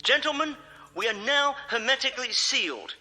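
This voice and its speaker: An actor playing a precise, self-assured scientist and criminal mastermind in a radio drama, with formal, clipped diction. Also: male voice, very high-pitched, animated, moderate pace. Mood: calm, instructing. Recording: hall-like reverb with faint music.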